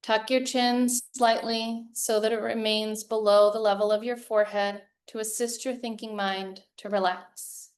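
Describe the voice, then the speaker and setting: A woman leading a guided meditation as a yoga teacher, speaking in calm, measured, soothing phrases with long pauses. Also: fairly high pitch.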